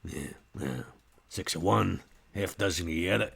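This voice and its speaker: Casting: streetwise, easygoing vampire played by a man, low raspy voice with a forceful edge, casual slangy delivery for tabletop game. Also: expressive, normal speed, full character voice. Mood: wry, casual. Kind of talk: tabletop roleplay in character.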